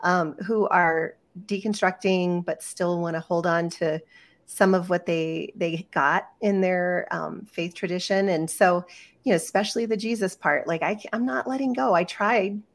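A woman's voice: on the low side, steady tone, a little slow. Chatty, enthusiastic woman podcast co-host. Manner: enthusiastic, chatty, laughs easily. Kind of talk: casual conversational interview.

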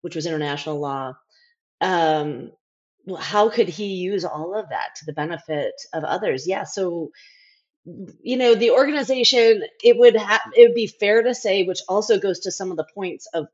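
A middle-aged woman speaks candidly as an interview guest in a fairly low voice. Her phrasing is halting, with thoughts restarted or left trailing. The recording is somewhat dull and lo-fi. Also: expressive, a little mellow. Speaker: interview guest, former overseas aid worker.

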